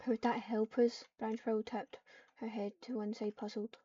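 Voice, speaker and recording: A woman's voice: fairly high pitch, level tone, normal speed, warm tone, breathy edge. A woman narrating an improvised roleplay story in third person, speaking the dialogue and tags herself and repeating phrases as she composes them. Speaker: online roleplayer. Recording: muffled lo-fi mic, noisy with typing clicks. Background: keyboard typing and clicks.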